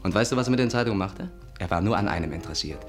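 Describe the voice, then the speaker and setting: An actor playing an urbane, persuasive amateur sleuth in a 1950s radio play, with a coaxing manner. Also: male voice, on the low side, lively intonation, rapid-fire, music in the background, natural voice. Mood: earnest, explaining. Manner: urbane, coaxing, slightly exasperated.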